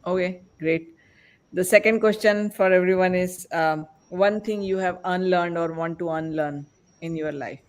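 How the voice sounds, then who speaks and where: A man's voice: high voice, fairly even intonation, moderate pace, smooth. A man hosting a casual panel discussion in an encouraging manner, often voicing approval. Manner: encouraging, frequent affirmations. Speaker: podcast host.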